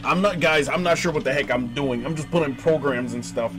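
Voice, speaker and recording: A man giving excitable, slangy let's-play commentary, exclaiming often and reading game text aloud, with game music behind him. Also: medium pitch, fairly quick. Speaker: gaming video host.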